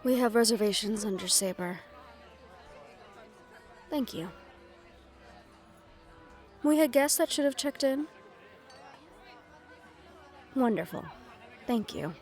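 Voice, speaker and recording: A woman's voice: fairly high pitch, expressive, slow pace, long pauses, a little mellow, faint music behind, some chatter behind. Poised female mafia right-hand acted by a woman. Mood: businesslike. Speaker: voice actor in audio roleplay. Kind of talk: intimate audio roleplay acting.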